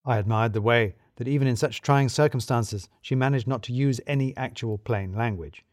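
A man narrating a scripted first-person story in a wry, expressive manner. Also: mid-range voice, normal speed, no echo, studio quality.

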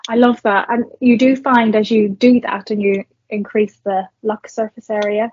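Brief: young Northern Irish woman, podcast guest, husky voice, dry dull sound. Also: medium pitch, little pitch movement, moderate pace, darkish tone, dry recording. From Northern Ireland. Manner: reflective, many fillers and restarts. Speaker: guest, marketer and entrepreneur. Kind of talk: conversational podcast interview.

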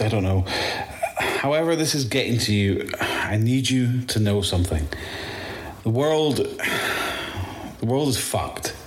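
A man with a Scottish accent playing a weary, rattled zombie-apocalypse survivor in an audio drama, speaking haltingly and swearing as he records his testimony. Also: mid-range voice, a little hiss or hum, lightly acted. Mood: flustered, hesitant, then grave, urgent.

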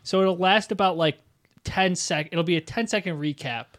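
A man's voice: high-pitched, moderate pace, fairly bright tone. A young man playing in a tabletop game podcast, talking casually with fillers and the odd swear. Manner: chatty, hesitant fillers, swears. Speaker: player and episode editor.

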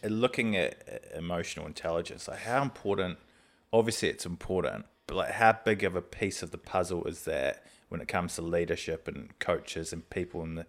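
A man's voice: deep voice, brisk. A man interviewing a guest on a podcast, asking thoughtful questions with hesitant restarts.

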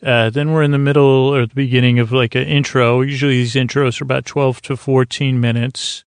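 A male podcast host with a gravelly, fry-laden voice talks in a dry, close recording. He meanders and restarts through a self-deprecating bedtime monologue, circling around his point in a rambling way. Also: medium pitch, level tone, a little fast, dry recording. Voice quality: gravelly, creaky.

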